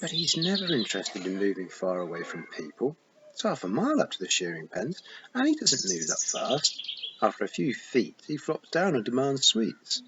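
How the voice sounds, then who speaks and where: A man's voice: medium pitch, moderate pace, voice pushed up. A man voicing a thoughtful young girl who speaks earnestly. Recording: muffled with steady hiss.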